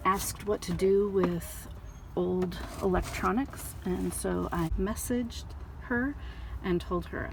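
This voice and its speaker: A woman's voice: mid-range voice, gravelly, noisy background. A middle-aged woman chatting casually in a home vlog, telling rambling stories with lots of fillers. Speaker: vlogger reselling scrap. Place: at home, garage and car.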